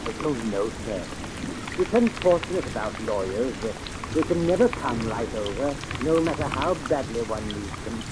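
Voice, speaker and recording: A man's voice: medium pitch, lively intonation, normal speed, heavy background noise, natural voice. A man playing a sly butler in an old-time radio drama, outwardly deferential but pointed and insinuating. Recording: old radio recording under loud rain.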